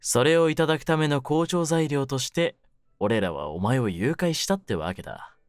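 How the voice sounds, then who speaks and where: A man playing a menacing young mafia kidnapper in an intimate voice drama, speaking low with rough, smug, teasing words. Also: animated, normal speed, no room sound, clean studio sound, faint music behind, lightly acted. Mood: scornful, cool. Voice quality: low-pitched, hushed at menacing moments. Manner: rough masculine speech, smug mock politeness. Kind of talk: ASMR situation voice drama, intimate one-sided roleplay.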